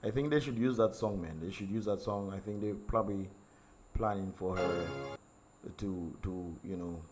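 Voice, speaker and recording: A hoarse man, chatting casually to his livestream viewers in a rambling way full of ums and repeated words. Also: low voice, normal speed, music in the background. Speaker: livestream host and singer.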